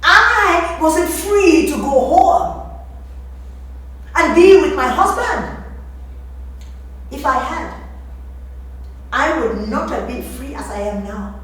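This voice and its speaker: A woman's voice: high-pitched, animated, slow, pauses often, fairly bright tone, pitched above the performer's own voice, exaggerated voice. A woman playing a defiant formerly enslaved woman in a dramatic stage monologue, impassioned and accusing. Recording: echoey room, distant mic, faint noise.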